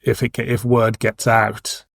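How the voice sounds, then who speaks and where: Man, average pitch, casual podcast co-host, discussing and asking questions, dry studio-like sound. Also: little pitch movement, a little slow, gravelly, no room sound.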